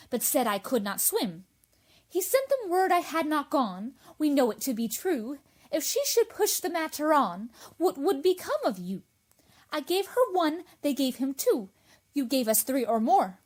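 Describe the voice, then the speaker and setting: A woman in a radio play acting as a fussy, deferential court herald with a formal delivery. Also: high-pitched.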